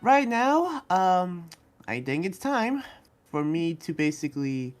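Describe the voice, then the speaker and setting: A man playing an earnest, hesitant demon slayer and researcher in an improvised Minecraft anime roleplay, speaking haltingly and trailing off. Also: high voice, big pitch swings, soft background music, exaggerated voice. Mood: uneasy, businesslike.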